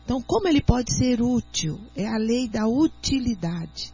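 A Brazilian woman speaking as a doctor and guest on a radio talk show, explaining in an earnest, teacherly way and sometimes reading aloud slowly. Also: fairly high pitch, big pitch swings, warm tone, breathy edge, faint music behind. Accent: Brazilian Portuguese. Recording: muffled lo-fi radio audio.

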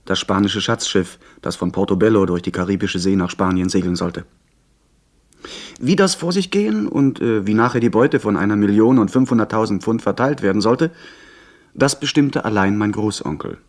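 An actor playing a proud, defiant young man who narrates his sea adventure in an expressive, literary radio play style. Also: on the low side.

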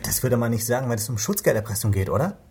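An actor playing an earnest, brooding police investigator in a German radio crime drama, speaking thoughtfully and insistently. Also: male voice, medium pitch, expressive, fast talker, a little mellow, breathy edge. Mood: brooding, intent.

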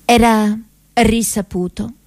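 A woman actress narrating a comic story for radio theatre, grandly emphatic, with dramatic pauses. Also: on the high side, fairly even intonation, a little mellow.

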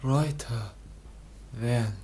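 Painfully slow, exhausted foundling youth. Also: male voice, medium pitch, dark tone, husky. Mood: dazed, exhausted. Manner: halting, barely able to speak, repeats fragments. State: utterly exhausted. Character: exhausted, dazed foundling youth of about seventeen. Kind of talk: German radio play acting.

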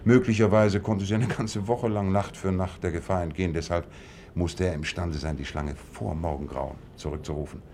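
A man acting in a radio play as a shrewd, composed detective, laying out deductions in a measured, self-assured way. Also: sits low, fairly even intonation, darkish tone, slight background noise. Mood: analytical.

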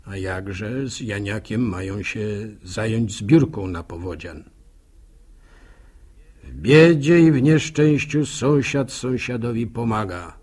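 Husky, folksy village storyteller. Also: male voice, on the low side, a little mellow, full character voice. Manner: folksy, reflective, proverb-laden rambling. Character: folksy village man narrator, musing, neighbourly, plain-spoken. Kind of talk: radio drama monologue in rustic storytelling.

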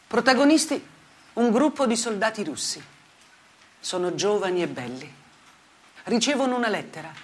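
An actress playing a grave, bitterly ironic woman journalist in a theatrical monologue, speaking deliberately in short, weighted phrases. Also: medium pitch, lively intonation, slightly rough. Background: music.

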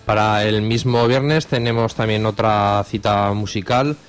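A low-pitched man from Spain presents event listings on the radio in a Spanish accent. Faint music plays underneath his voice. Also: steady tone, unhurried. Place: radio studio.

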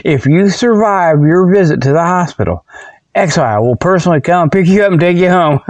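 A man with a somewhat high-pitched voice rambles through a humorous monologue as the host of his own show. His delivery is casual, folksy and amused by his own jokes. The sound is very dry, without any echo. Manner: folksy, jokey, self-amused with frequent chuckling. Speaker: host of a comedic paranormal report show. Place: outdoors behind his garage.

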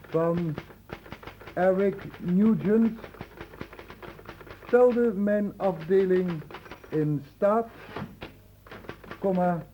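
A man's voice: high voice, muffled recording. A man acting in a radio play as a grave, precise and insistent doctor. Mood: formal, dictating. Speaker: actor in a radio drama. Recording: noisy old radio recording with clicks.

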